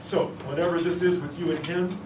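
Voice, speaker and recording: A man's voice: sits high, steady tone, fairly quick, lightly acted. A man playing a stern, domineering senator father in a staged reading, scolding and commanding. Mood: stern, insistent. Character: stern, domineering senator father forbidding his daughter's romance. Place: live restaurant reading. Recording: roomy, distant mic, noisy restaurant.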